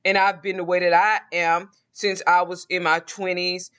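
A man's voice: high voice, flat delivery, normal speed, pushed and bright, clear, resonant tone. A man in his forties with a Southern American accent, talking to his viewers in a rambling, reflective monologue. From Southern United States. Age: 40s. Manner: rambling and reflective, with frequent restarts. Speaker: vlogger. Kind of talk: casual monologue to camera.

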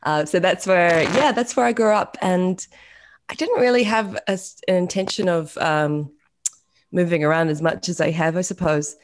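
A woman's voice: lowish voice, normal speed. A New Zealand woman in her thirties, a musician chatting casually in an interview over a video call, self-deprecating and full of fillers. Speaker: guest musician.

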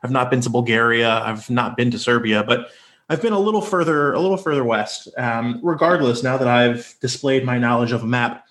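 A man's voice: mid-range voice, fairly quick, a bit bright. Man hosting a podcast, chatty and playful, roomy echo. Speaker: podcast host. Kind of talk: casual interview. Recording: echoey room.

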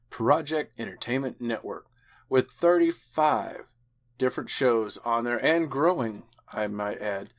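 Easygoing male podcast host, enthusiastic. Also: medium pitch. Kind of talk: chatty podcast hosting.

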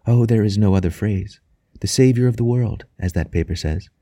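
A man voicing a devout, tender young wife in an audiobook, speaking softly and reverently. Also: fairly low pitch, normal speed, no room sound, clean studio sound. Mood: rapt devotion. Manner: soft, quiet, reverent. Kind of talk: audiobook character dialogue.

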